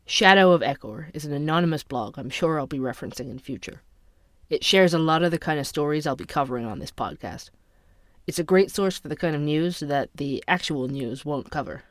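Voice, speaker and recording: An actor playing a young podcaster who narrates urban conspiracies in a measured, inquisitive way for an audio drama. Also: medium pitch, animated, no echo, clean studio sound, close to an everyday voice. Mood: intrigued, serious.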